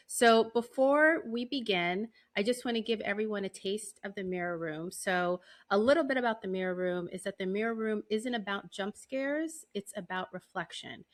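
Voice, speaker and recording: A woman's voice: medium pitch, a bit bright. A woman speaking as the host of a podcast, introducing and interviewing in a conversational setting.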